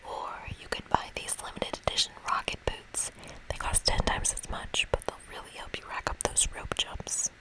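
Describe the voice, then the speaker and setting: A woman reading a video game aloud in a breathy ASMR whisper, playful and expressive, with casual asides. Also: normal speed, low-quality recording. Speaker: ASMR gamer reading a game's dialogue aloud. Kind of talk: whispered ASMR let's play.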